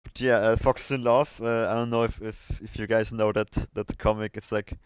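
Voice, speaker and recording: A man's voice: on the low side, level tone, normal speed, clean tone, no echo. A man hosting a casual livestream chat, thinking aloud and hesitating as he goes. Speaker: livestream host.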